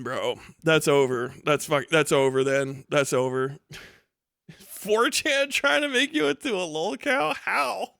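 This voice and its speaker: High-voiced man ranting on a livestream. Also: no echo, clean studio sound. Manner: profane, mocking, animated. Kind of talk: casual livestream rant to chat.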